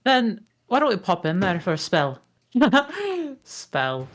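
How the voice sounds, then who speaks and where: Woman doing a sly male ogre, deliberate, deadpan wry, slow pace, cartoon comedy script. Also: medium pitch, full character voice. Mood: wry, amused. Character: gruff, cocky male ogre, blunt and sly. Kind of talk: comic table read of an animated film script.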